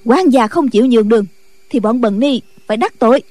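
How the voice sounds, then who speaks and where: A woman's voice: fairly high pitch, expressive, brisk, darkish tone, no echo. A woman voicing a stern, impatient senior Buddhist nun who speaks in curt commands.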